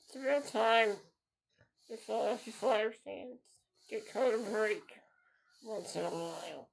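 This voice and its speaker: Slow gravelly man in his thirties. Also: high voice, poor audio. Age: 30s. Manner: earnest and repetitive, circling back to the same phrases. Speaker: podcast host. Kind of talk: solo podcast monologue.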